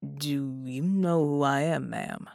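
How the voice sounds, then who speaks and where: A woman voicing a wary, guilt-ridden superhero man in audiobook dialogue, speaking low and courteously. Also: slow pace, vocal fry, dry recording, clean studio sound, heavily acted. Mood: guarded. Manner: low, hushed, formal and courteous.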